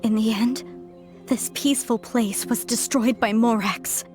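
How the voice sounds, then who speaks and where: A high-voiced, earnest young archaeologist from a video game, played by a woman, idealistic and easily stirred. Faint game music sits underneath. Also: steady tone, a little slow, darkish tone, natural voice. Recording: game score playing faintly.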